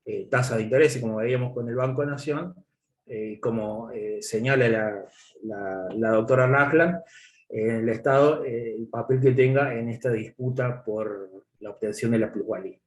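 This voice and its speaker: A man's voice: medium pitch, steady tone. Guy presenting in an online class, lots of ums, mid voice, echoey room. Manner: halting, many filler pauses. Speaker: student presenter. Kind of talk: class presentation over video call.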